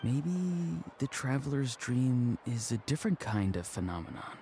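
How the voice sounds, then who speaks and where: An actor playing a soft-spoken, thoughtful young male shaman in an anime game. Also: mid-range voice, very animated, unhurried, faint music behind. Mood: thoughtful, explaining.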